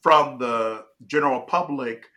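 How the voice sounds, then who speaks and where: Male host, from Chicago, bright and forceful tone, emphatic conversational commentary. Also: mid-range voice, measured pace. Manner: emphatic, restarts and checks in with "all right?". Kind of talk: casual political commentary.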